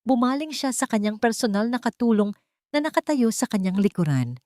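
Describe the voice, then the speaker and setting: A woman with a Filipino accent narrating a scripted audio drama in a deliberate, dramatic way, phrase by phrase. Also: a little high, big pitch swings, mellow, dry recording, clean studio sound. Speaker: storyteller.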